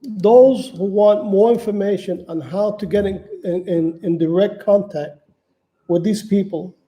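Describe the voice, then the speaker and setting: Halting high-voiced man with a dark tone. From Manhattan, New York, United States. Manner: halting, stumbles and repeats words often. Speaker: channel host appealing for donations. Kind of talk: casual unscripted livestream talk.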